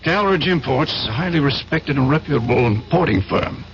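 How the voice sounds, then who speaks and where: A man's voice: medium pitch, expressive, fairly quick, fairly bright tone, natural voice. Man acting an assured middle-aged schemer, muffled radio. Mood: smooth, conspiratorial. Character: confident older WWII veteran turned importer, persuasive schemer. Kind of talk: 1970s radio drama acting. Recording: dull, noisy old radio broadcast.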